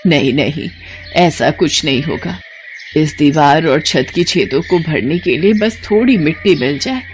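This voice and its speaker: A woman voicing a poor, worried elderly village mother-in-law who talks in a weary, plaintive, blunt way in an animated story. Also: low-pitched, measured pace, voice pushed down. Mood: reassuring. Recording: noticeable background noise.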